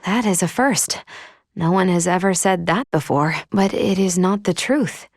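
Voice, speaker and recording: Video game android, female: lowish, dry close sound, polite, formal, measured, quietly caring elder-sister type. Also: dry recording. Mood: calm, curious. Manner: formal, measured, precise phrasing. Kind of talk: video game character acting.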